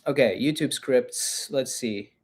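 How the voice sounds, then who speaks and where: A man's voice: medium pitch, takes it slowly. A man in his twenties hosting a casual live-stream Q&A podcast, chatty and self-mocking as he reads the chat aloud. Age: 20s. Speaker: podcast host.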